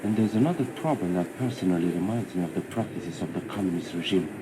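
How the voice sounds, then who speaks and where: Male actor as indignant government critic, deep voice, solemn declamation, game broadcast, clearly noisy sound. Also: fast, natural voice. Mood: grave, concerned. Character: grave, indignant political speaker denouncing the government. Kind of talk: broadcast political speech.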